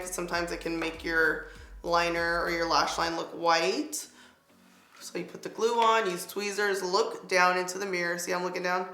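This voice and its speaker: A chatty young woman with a slightly raspy voice, talking through a makeup tutorial at home and filling her sentences with "like.". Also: fairly low pitch, pushed and bright. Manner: chatty, lots of "like" fillers, upbeat product asides. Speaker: beauty vlogger. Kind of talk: casual makeup tutorial chat. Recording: echoey room with faint music.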